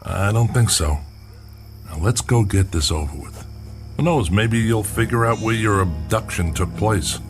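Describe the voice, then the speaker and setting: A man's voice: lowish voice, unhurried, soft background music, close to an everyday voice. An actor playing a wry, skeptical male investigator in an audio drama, dry and a little hesitant. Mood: wry, friendly.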